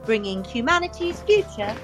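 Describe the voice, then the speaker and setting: A woman's voice: a little high, very animated, normal speed. A woman playing a polished corporate welcome announcer in an audio drama. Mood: welcoming. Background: music with brass.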